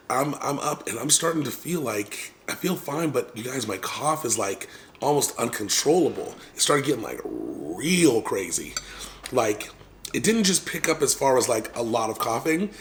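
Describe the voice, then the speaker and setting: A man casually telling viewers a long personal story, rambling with many fillers and restarts and clearing his throat now and then. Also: medium pitch, big pitch swings, a little slow, a little hiss or hum. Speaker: vlogger.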